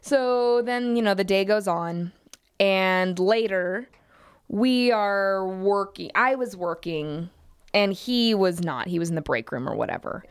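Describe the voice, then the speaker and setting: A woman's voice: mid-range voice, measured pace, smooth. A woman co-hosting a podcast, telling an anecdote in a casual, chatty way and acting out bits of dialogue. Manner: animated, acts out dialogue, frequent hedging. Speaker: podcast co-host telling a workplace story.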